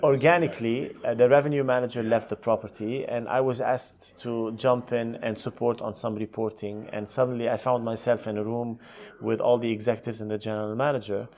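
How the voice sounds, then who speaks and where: Male, middle-aged, mid-pitched voice, conversational podcast guest. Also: little pitch movement, fairly quick, darkish tone. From Lebanon. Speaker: podcast guest, hotel executive. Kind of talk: conversational interview answers, career storytelling.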